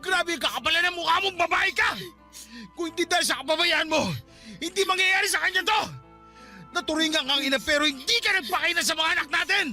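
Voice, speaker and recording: A man's voice: very high-pitched, fast talker, sharp tone, soft background music, exaggerated voice. An actor playing a grieving, enraged working-class father in a Filipino radio drama, anguished and accusing. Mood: furious.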